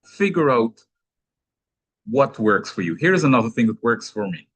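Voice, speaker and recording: A man with a Guyanese accent, giving a measured, explanatory teaching talk with touches of gentle humor. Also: mid-range voice, sing-song, breathy edge. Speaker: speaker on anger management.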